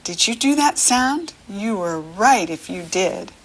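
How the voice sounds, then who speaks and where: A woman with an American accent speaks very slowly to young children, in an ordinary mid-range pitch with lively rises and falls. She sounds middle-aged and teacherly. She asks simple questions, leaves pauses for answers and gives encouragement. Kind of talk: read-along lesson for young children, questions and praise.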